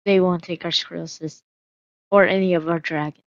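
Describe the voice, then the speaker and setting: A woman's voice: fairly low pitch, fairly even intonation, normal speed, no echo, lo-fi sound. A woman casually narrating her solo game roleplay, musing aloud with hesitant fillers.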